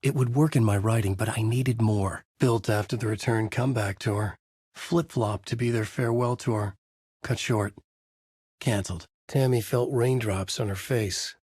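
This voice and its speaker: Haunted writer, low husky voice, grave noir horror narration, measured and dramatic, video game, close dry sound. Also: male voice, a little mellow, dry recording. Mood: brooding, measured. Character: brooding, haunted male novelist narrating his horror story. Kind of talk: scripted noir horror narration, inner monologue.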